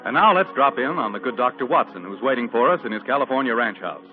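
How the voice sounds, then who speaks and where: American male radio host, 1940s style, mid-pitched, polished folksy sell, lo-fi broadcast sound. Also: expressive, quick pace, loud music behind the voice. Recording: muffled old radio broadcast recording.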